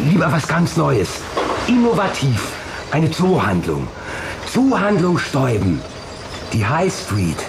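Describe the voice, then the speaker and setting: A man's voice: mid-range voice, gravelly. Embittered, obsessed man over background noise. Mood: zealous, indignant. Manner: muttering to himself, resentful, determined. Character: embittered, obsessed model-town builder, a grown married man. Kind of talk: German radio play acting.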